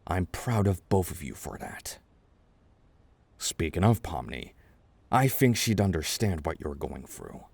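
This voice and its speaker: Gentle, rambling older chess king played by a man, soothing ASMR roleplay, deep voice, very dry close sound, halting reassurance. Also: very animated, creaky, slightly rough, dry recording, exaggerated voice. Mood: warm reassurance.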